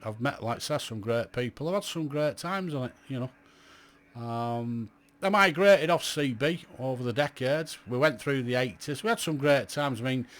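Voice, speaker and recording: A man's voice: mid-range voice, a bit bright. A British man in his fifties recalling his years in radio in a chatty, rambling way, full of fillers and self-mocking asides. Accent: British English. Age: 50s. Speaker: radio hobby vlogger. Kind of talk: reminiscing monologue.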